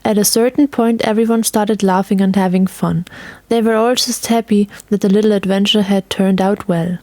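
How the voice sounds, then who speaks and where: A woman's voice: medium pitch, fairly even intonation, moderate pace, darkish tone, no echo. A woman narrator reading a scripted story.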